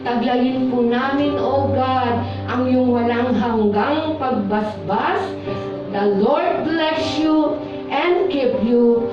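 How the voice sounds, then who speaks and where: A Filipino woman leading a fervent, pleading church prayer in short, devout phrases, calling repeatedly on God. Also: sits high. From Philippines. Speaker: prayer leader at a church service. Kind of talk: fervent spoken closing prayer with benediction. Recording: echoey church hall, distant muffled mic, music behind. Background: worship music.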